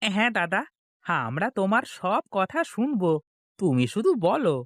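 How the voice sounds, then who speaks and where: Casting: male actor as eager younger farmer for cartoon, animated delivery, coaxing, deferential. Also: sits high, unhurried, dry recording, clean studio sound, full character voice. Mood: pleading. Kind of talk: Bengali comic cartoon acting.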